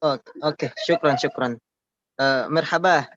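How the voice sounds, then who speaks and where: A man's voice: on the high side, measured pace, a bit bright, dry recording. Polite man asking on a call. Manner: polite, hesitant. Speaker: participant asking a question. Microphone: video call. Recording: dull lo-fi online call audio.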